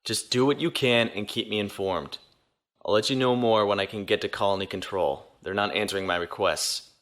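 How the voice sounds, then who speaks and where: A man's voice: on the low side, brisk, a bit bright, natural voice. A man playing a wry young naval officer. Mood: tense. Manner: wry, sardonic asides.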